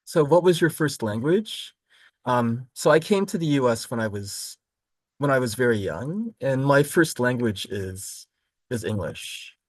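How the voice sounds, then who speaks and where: A man from Florida who teaches college literature, walking students through a course overview in a relaxed, explanatory way with frequent ums and self-corrections. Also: mid-range voice, no echo. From Florida, United States. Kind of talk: recorded course overview lecture.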